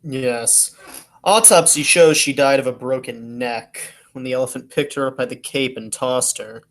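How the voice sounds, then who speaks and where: An actor playing a serious, businesslike male police detective in a 1940s-style radio play, reasoning deliberately. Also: medium pitch, fairly bright tone, lightly acted.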